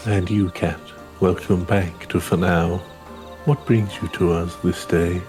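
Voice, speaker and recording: A man voicing an ancient, wise, gracious elder of a long-lived woodland folk in a fantasy video game, with formal, measured phrasing. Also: sits low, fairly even intonation, darkish tone, faint music behind. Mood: warm, wistful. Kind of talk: reading video game dialogue in character.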